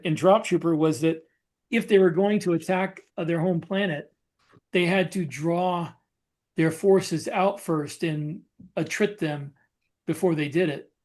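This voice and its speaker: Higher-voiced man in podcast chat. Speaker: podcast co-host. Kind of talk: casual podcast discussion.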